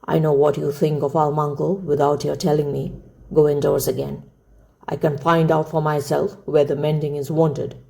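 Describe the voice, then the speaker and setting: A woman doing a low, gruff old grandfather. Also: level tone, moderate pace, mellow. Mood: curt. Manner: curt, firm. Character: gruff, curt old mountain grandfather, secretly kind.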